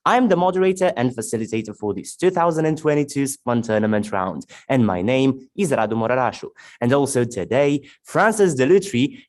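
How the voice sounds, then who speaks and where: A man moderating a formal online debate, reading introductions and rules in a measured, announcing way over a video call. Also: medium pitch, darkish tone.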